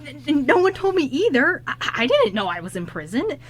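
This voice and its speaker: A woman's voice: sits high, a little fast. Woman playing a stammering, flustered male ghost. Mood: panicked. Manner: stammering, rambling, excitable. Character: flustered, kind-hearted male ghost, a loyal friend. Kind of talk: amateur radio drama script reading.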